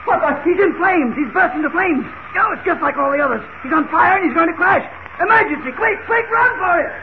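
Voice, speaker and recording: An actor playing a harried, anxious airfield manager in an urgent, clipped 1940s radio style. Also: male voice, very high-pitched, animated, brisk. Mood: panicked. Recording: tinny, hissy 1940 radio recording.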